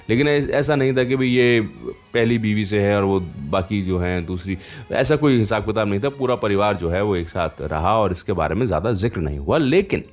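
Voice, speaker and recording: A man presents a music radio show, telling anecdotes in a chatty, conversational way that speaks straight to the listener. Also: fairly low pitch. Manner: chatty, addresses listeners directly with frequent rhetorical fillers.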